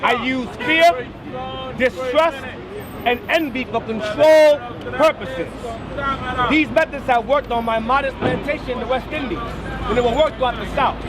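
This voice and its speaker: A man's voice: extremely high pitch, a little slow. A man preaching on the street, reading a text aloud and stressing key words, with commentary in between. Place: outdoors on a busy street. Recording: outdoor street recording with crowd noise.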